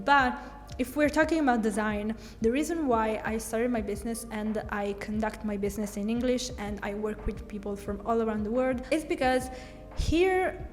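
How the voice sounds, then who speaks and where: A woman's voice: fairly high pitch, darkish tone, long reverb tail. A young woman from Italy with an Italian accent, chatting candidly in a Q&A vlog about her design business, speaking English as a second language. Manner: candid, chatty, with frequent "like" fillers. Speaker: brand designer and content creator. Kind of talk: casual Q&A vlog.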